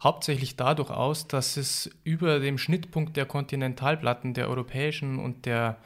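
A podcast co-host calmly explaining background facts in a true crime episode. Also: medium pitch, normal speed.

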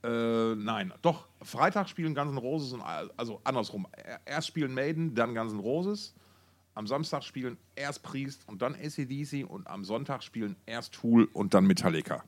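A man co-hosting a podcast in casual, colloquial chat, thinking aloud with halting phrasing. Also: mid-range voice, lively intonation, dry recording. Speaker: podcast co-host. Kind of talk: casual chat about music.

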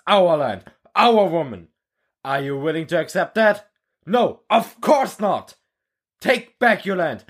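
A man voicing a fiery army drill instructor who gives a rousing, commanding speech with rising shouts. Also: on the high side, pitched above the performer's own voice.

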